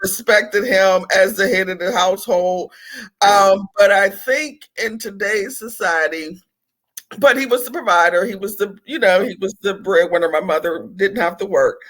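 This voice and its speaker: Woman, podcast host, bright tone, affirming, casual. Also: mid-range voice, normal speed, low-quality recording. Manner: warm, affirming, frequent "yes" and "absolutely". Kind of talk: casual interview talk.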